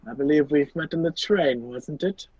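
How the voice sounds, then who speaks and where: A performer playing a flustered, polite gentleman on the run in an amateur radio play, halting and exclamatory, over a muffled video call. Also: low voice, breathy edge, no echo. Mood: wry, urgent.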